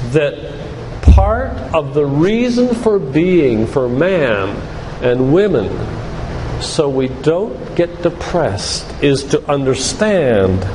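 Older male lay preacher, deliberate and emphatic, high voice, in a reverberant hall. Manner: deliberate, emphatic teaching with repeated phrases. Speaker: physician giving a church lecture. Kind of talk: sermon-like lecture quoting scripture. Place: live talk in a church hall. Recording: reverberant church hall, background hiss.

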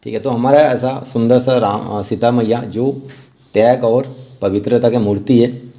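A man narrating a step-by-step art tutorial as an instructor, often pausing mid-sentence. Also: mid-range voice, steady tone, warm tone.

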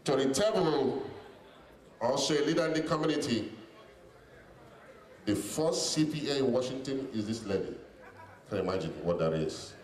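A middle-aged man speaking formally and deliberately as master of ceremonies, introducing guests over a handheld microphone. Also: mid-range voice, animated, a little mellow, distant mic. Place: large hotel banquet hall. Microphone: handheld mic over PA, picked up by distant camera. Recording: echoey banquet hall PA, from afar, music.

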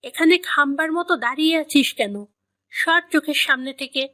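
A woman's voice: high voice, normal speed, pushed and bright. A woman voicing a harsh, resentful mother who snaps sarcastically at her daughter in an audio story. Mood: angry, scolding. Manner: snapping, sarcastic, scolding. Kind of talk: dramatized romantic audio story.

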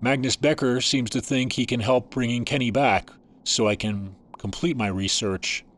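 Man acting a measured, clinical doctor. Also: medium pitch, steady tone, close to an everyday voice. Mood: clinical, absorbed. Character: evasive male scientist-doctor, measured and clinical. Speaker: actor in an audio drama. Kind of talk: dramatic audio fiction acting.

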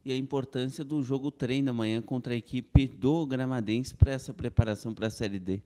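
Man with a mellow voice asking brief questions. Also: medium pitch, fairly even intonation, a little fast, a little hiss or hum. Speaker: sports reporter.

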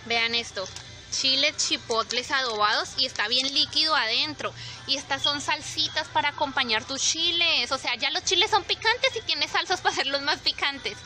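Bright, high-voiced woman vlogger. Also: moderate pace, faint music behind. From Colombia. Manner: chatty, expressive, addresses viewers directly. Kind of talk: casual walk-through vlog. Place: supermarket aisles, indoors.

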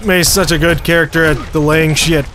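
A man's voice: on the high side, fairly even intonation, normal speed, noisy background. A man hosting a casual gaming session, laid-back and teasing, laughing easily. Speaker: host.

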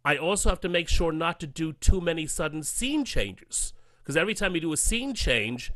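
Male guest, slightly high voice, dry sound, explanatory, conversational. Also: expressive, moderate pace, fairly bright tone, dry recording. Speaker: podcast guest, audio drama adapter. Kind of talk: casual interview chat.

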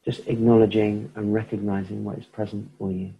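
A man guiding a slow meditation, speaking softly with long pauses between phrases. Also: on the low side, level tone, creaky, breathy edge, lo-fi sound. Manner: slow, soft-spoken, pausing often. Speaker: meditation teacher. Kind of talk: guided meditation.

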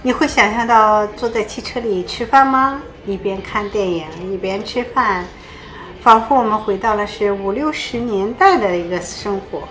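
A woman narrates her channel's video in a friendly, measured voice-over. Her pitch sits in the middle range, and her delivery is calm and inviting. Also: a little hiss or hum, rough recording. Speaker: vlog host.

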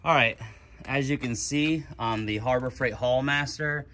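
A man hosting a casual how-to video, narrating a trailer upgrade outdoors in a relaxed, plain-spoken way while holding the camera. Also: medium pitch, a little slow. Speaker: DIY channel host. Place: outdoors on a concrete driveway. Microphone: camera mic, one hand on camera.

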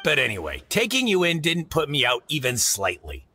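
Bright-voiced, animated blunt merchant. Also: male voice, a little high, normal speed, a little hiss or hum, heavily acted. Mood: earnest, exasperated. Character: kindly, blunt male market merchant, fatherly. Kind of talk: video game cutscene acting.

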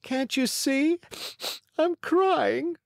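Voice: mid-range voice, slow, no room sound, studio quality, voice pushed up. A narrator voicing an emotional wooden puppet boy in a storybook, with a pleading, exclamatory manner. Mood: tearful.

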